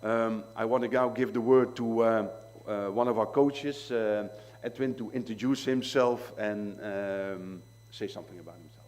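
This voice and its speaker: A man hosting a press conference presentation, handing the floor to the coaches in a relaxed way. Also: fairly low pitch, moderate pace, hardly any pauses. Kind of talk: presentation with slides.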